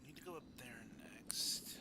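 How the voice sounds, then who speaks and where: A man's voice: medium pitch, moderate pace, whispered. A man giving casual gameplay commentary as a streamer, often muttering to himself. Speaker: game streamer. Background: loud game music.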